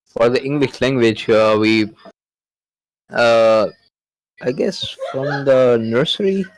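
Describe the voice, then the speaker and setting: A man's voice: mid-range voice, slow, dry recording, low-quality recording. A man whose first language is Urdu, chatting casually in English on a podcast, hesitant, with many fillers and restarts.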